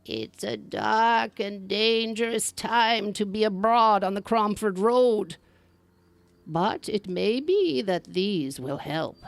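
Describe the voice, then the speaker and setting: Folktale crone acted by a female storyteller: mysterious, kindly, slow foreboding delivery, dry sound. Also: mid-range voice, creaky, dry recording. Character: mysterious kindly old woman giving warnings.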